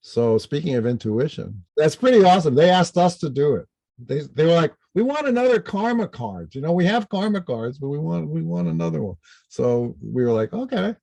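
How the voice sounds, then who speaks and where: An older man with a somewhat raised pitch and a soft, mellow tone. He speaks emphatically and with firm opinions in a casual interview. The sound is very dry, with hardly any room in it. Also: sing-song, brisk, gravelly, no echo. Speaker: podcast guest, astrologer and author.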